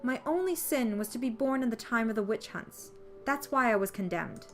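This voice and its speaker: A woman's voice: fairly high pitch, lively intonation, moderate pace, voice pushed up. A woman voicing a hot-tempered, proud young witch girl who is secretly shy and kind, in a visual novel read aloud, with music. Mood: sad, defensive. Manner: indignant, arrogant, easily flustered.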